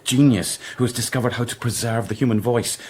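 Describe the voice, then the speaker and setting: An actor playing a driven, awkward Victorian doctor with a halting stammer in a radio drama. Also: male voice, mid-range voice, fairly even intonation, a little mellow, slight background noise, lightly acted. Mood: eager, excited.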